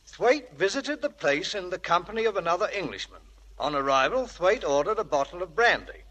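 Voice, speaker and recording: An actor playing a polite, apologetic police inspector, formal and deferential, in old radio drama style. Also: male voice, high-pitched, big pitch swings, brisk, a bit bright, a little hiss or hum, rough recording. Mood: grave, formal. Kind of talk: 1940s radio acting.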